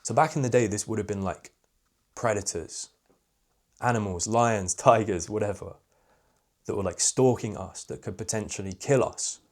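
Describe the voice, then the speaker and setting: A young man talks straight to camera about social confidence, in a candid, reflective way. His voice sits a bit low and fairly monotone, loosened by frequent "like" fillers. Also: normal speed. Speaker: social skills coach. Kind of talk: casual talking-head advice video.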